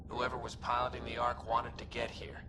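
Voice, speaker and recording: An actor playing a gruff, unsentimental man exploring a wreck, blunt and terse. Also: medium pitch, completely flat delivery, brisk, noisy background, natural voice. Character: gruff unsentimental male soldier companion.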